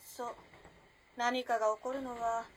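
A woman's voice: fairly high pitch, flat delivery, measured pace, a little hiss or hum, close to an everyday voice. A woman playing a self-possessed, teasing modern-girl storyteller in a radio drama, with breezy, ladylike phrasing. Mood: hushed storytelling.

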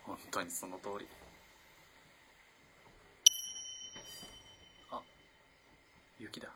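An actor playing an earnest, lovestruck young student in an early twentieth-century Japanese radio play, halting and moving between hushed and impassioned delivery. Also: male voice, sits low, steady tone, heavy background noise. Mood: wistful, rueful. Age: young adult. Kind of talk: dramatic radio play acting.

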